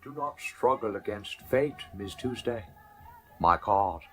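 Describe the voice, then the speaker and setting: A slow, leering alien cult villain. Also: male voice, lowish voice, animated, bright, forceful tone, soft background music, full character voice. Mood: smug menace. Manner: slow, formal stilted phrasing, oily chuckling. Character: leering, mock-polite alien villain, a sacrificing cultist.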